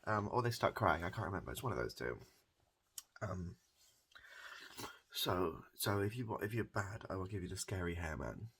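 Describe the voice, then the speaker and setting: A man improvising a softly spoken ASMR comedy roleplay as a hairdresser, rambling and repeating himself in a halting, deadpan way. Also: slight background noise. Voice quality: gravelly, husky low voice.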